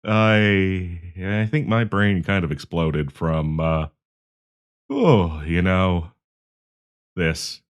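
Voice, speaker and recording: A man's voice: low voice, extremely slow, long pauses. A man playing a nervous, awkward young man shyly confessing love to a friend in an audio roleplay, stammering and hesitant. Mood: relieved, awkward. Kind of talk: intimate audio roleplay acting.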